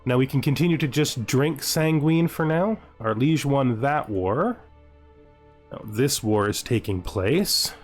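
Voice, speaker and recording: A man's voice: mid-range voice, expressive, measured pace, faint music behind. A man hosting a gaming video, thinking aloud in casual commentary and playfully gloating with in-character asides.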